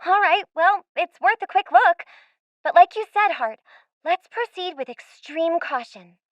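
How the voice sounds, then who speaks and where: A woman's voice: very high voice, expressive, unhurried, a bit bright, no room sound, highs cut off, heavily acted. A woman playing a curious, polite and sensible young girl in a radio play, speaking earnestly with quaint, old-fashioned turns of phrase.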